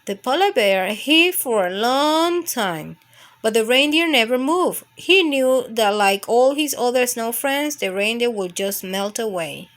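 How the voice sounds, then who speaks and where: A woman's voice: on the high side, animated, unhurried, fairly bright tone. A woman reading a picture book aloud as a storytime narrator for young children.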